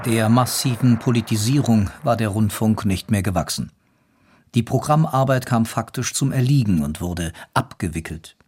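A man narrating a scripted historical account in a measured, matter-of-fact way. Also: lowish voice, a little mellow, a little hiss or hum. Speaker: documentary narrator.

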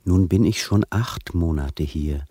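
Husky, measured genteel conman. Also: low voice, dark tone, dry recording, clean studio sound. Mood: unctuous, solemn. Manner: measured, formal, affected refinement. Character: vain, genteel imprisoned charmer writing flattering letters. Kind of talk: German radio crime play, reading letters aloud.

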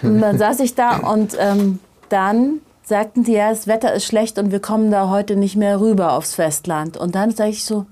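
A middle-aged woman talks casually as the guest in an interview. Her delivery is animated and emphatic, with a conversational storytelling feel. Her voice sits at an average female pitch. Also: normal speed, few pauses. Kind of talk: casual interview chat, personal anecdotes.

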